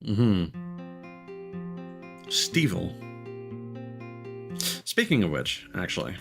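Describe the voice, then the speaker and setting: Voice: sits low, sing-song, extremely slow, creaky. A game streamer giving casual, playful commentary and joking along with a co-host. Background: game music.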